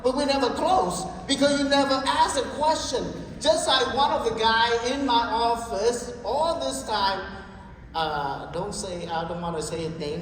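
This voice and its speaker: Man, sales trainer, joking storyteller, far from the mic, heavy hall echo, background noise. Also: very high-pitched. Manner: joking, storytelling, keeps checking in with the audience. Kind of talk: motivational sales training talk.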